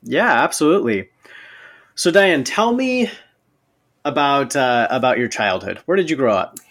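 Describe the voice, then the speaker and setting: A man hosting a podcast interview, welcoming his guest in an upbeat, animated way. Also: mid-range voice, unhurried, no room sound, clean studio sound. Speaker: podcast host.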